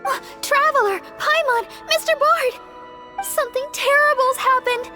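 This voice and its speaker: Worried sweet girl for a game, extremely high, unhurried, music behind. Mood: alarmed. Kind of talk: animated video game voice acting.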